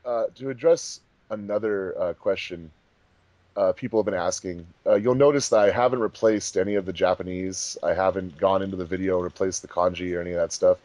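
A man's voice: sits low, steady tone, fairly quick, darkish tone, no echo. A man hosting a commentary track in casual, chatty speech. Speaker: fandub director and commentary host.

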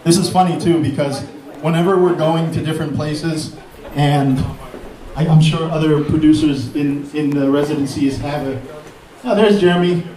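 A man's voice: on the high side, steady tone, moderate pace, mellow. A man, a beat producer, giving a rambling, grateful and self-deprecating speech on a mic at a live event. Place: event hall with an audience. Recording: echoey hall mic from far off.

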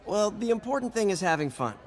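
A man's voice: high voice, sing-song, quick pace. An actor playing an earnest, boyish young American man, a slightly awkward hero, in a video game. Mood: casual. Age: young adult. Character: earnest, awkward young male superhero in everyday life.

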